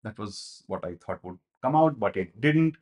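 Man reviewing a film, low voice. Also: normal speed. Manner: animated, gestures a lot, thinks aloud with many fillers and restarts. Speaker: movie reviewer. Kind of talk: casual spoken film review. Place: small home studio, indoors.

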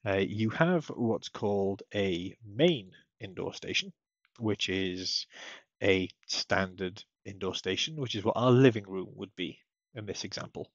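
A man narrating a step-by-step software tutorial in a methodical, explanatory way. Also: fairly low pitch, dry recording, highs cut off. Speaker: technical support tutorial presenter.

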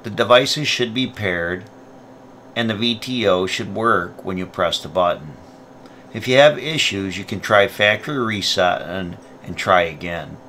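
A man's voice: fairly low pitch, a little slow, sharp tone, slight background noise. A man presenting a product tutorial, explaining each step in a plain, methodical way. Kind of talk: step-by-step instructional narration.

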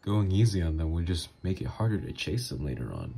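Male actor as psychopathic, flirty villain ex for ASMR roleplay; dark, mellow tone with swooping intonation, smug and teasing. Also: low voice, big pitch swings, vocal fry, breathy edge, heavily acted. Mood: smug menace. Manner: smug, drawn-out, intimate teasing.

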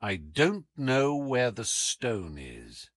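A man voicing a sharp, commanding detective in an audiobook, coolly taunting, with deliberate, teasing emphasis. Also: mid-range voice, wildly swooping pitch, no echo, full character voice. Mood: calm, persuasive. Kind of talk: audiobook character acting.

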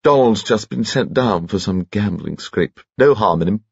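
A man voicing a small, round, cheerful aristocratic gentleman with an extraordinarily high-pitched voice and breezy, old-fashioned chatter. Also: lively intonation, moderate pace, gravelly, husky, no room sound, muffled recording, heavily acted. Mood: chatty.

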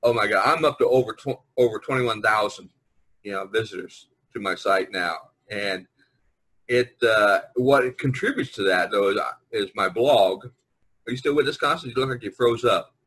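A man hosting a podcast in a casual, rambling, easygoing group chat with a husky voice. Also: fairly low pitch, moderate pace, fairly bright tone, low-quality recording. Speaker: podcast host. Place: online video conference.

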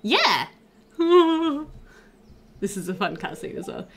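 Lively young woman chatting through a game. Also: sits high, slow. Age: young adult. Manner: chatty, thinking aloud. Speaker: gaming video host. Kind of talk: casual let's play commentary. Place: indoors at a computer.

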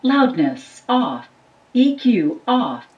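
A woman's voice: medium pitch, very animated, extremely slow, highs cut off. An automated woman's voice making clipped, word-by-word menu announcements through a device speaker. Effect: played through a device speaker. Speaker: voice prompt of a car radio. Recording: distant, echoey device playback under loud music.

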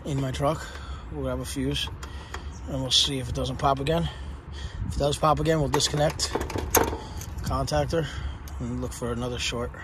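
A man working as an HVAC technician on a service call, talking practically and giving quick instructions to a helper. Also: medium pitch, fairly even intonation, background noise. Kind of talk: on-the-job service call vlog. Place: house and yard, indoors and outdoors.

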